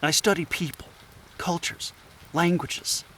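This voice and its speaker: A man's voice: a little high, steady tone, a little slow, sharp tone, slight background noise, poor audio. A man playing an earnest, nervous anthropologist in an audio drama, with a hesitant, stammering way of talking. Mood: curious, hesitant. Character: earnest, nervous male anthropologist, apologetic outsider.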